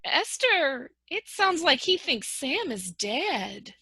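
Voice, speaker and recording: A woman's voice: high voice, sing-song, takes it slowly, sharp tone, dry recording, full character voice. A woman playing a frank, concerned wartime confidante in a play reading, speaking in a direct, practical way. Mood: concerned, urging. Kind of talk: one-act play table read. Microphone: video call.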